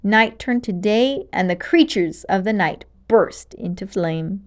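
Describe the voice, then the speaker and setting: A woman narrating a children's storybook aloud in an expressive, dramatic and clearly paced way. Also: mid-range voice, measured pace.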